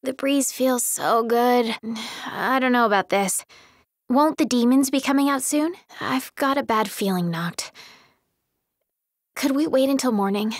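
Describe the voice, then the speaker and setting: A woman playing a cheerful, supportive teenage girl companion in a fantasy video game, with a perky, expressive delivery. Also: on the high side, measured pace, dry recording, clean studio sound. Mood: soft, uneasy.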